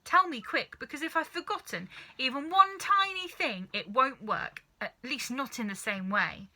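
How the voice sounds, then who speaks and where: Lady doing an excitable bossy dad from a kids book, urgent, swooping up high, really bright and sharp. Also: moderate pace, exaggerated voice. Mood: frantic, excited. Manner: exclamatory, urgent. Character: excitable, impatient, bossy father, a man.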